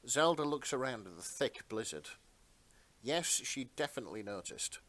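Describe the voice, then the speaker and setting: A man with a middle-pitched voice narrates an adventure story. His phrasing is deliberate and theatrical, in a dramatic read-aloud style. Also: expressive, a bit bright.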